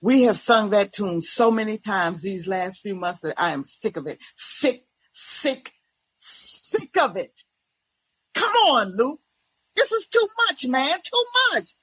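Woman as a fed-up, husky pastor's wife. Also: medium pitch, sing-song, takes it slowly, fairly bright tone, dry recording, full character voice, low-quality recording. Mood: fed up, angry. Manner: emphatic, exasperated. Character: fed-up, furious pastor's wife confronting her husband.

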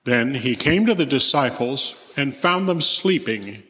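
A man's voice: mid-range voice, animated, measured pace, pushed and bright. A man giving a scripture reading as narrator in a church. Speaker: scripture reader, church member. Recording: echoey church hall, long reverb.

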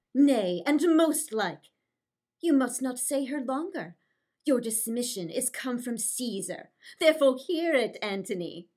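A woman playing an imperious, teasing queen in theatrical Shakespearean verse, mocking and declamatory. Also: high voice. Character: imperious, teasing Egyptian queen taunting her lover.

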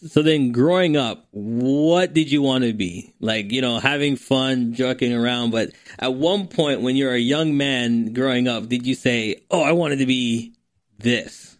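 A man hosting a podcast, enthusiastic and excitable, often repeating words as he hypes his guest. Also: mid-range voice, unhurried, dry recording. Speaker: podcast host. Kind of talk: casual interview hosting.

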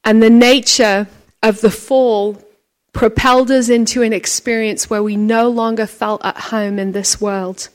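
A talkative woman with a naturally loud voice preaches at a conference, telling stories and acting out quotes. Her voice sits a bit high for a woman. She talks freely and with energy. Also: unhurried. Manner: chatty, animated storytelling with acted quotes. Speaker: conference speaker. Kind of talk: conference sermon.

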